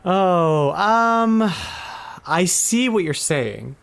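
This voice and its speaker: A man's voice: high-pitched, expressive, very slow, creaky, a bit bright, smooth. A man chatting casually and enthusiastically as the host of a retro gaming podcast.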